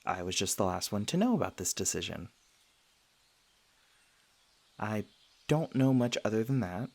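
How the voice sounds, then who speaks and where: A man voicing a gentle, self-conscious young-seeming vampire in an intimate ASMR roleplay, talking earnestly with hesitant little stammers. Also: lowish voice, animated, a little fast, vocal fry. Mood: hesitant, somber. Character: gentle, self-conscious male vampire, century old but youthful, sweetly flustered.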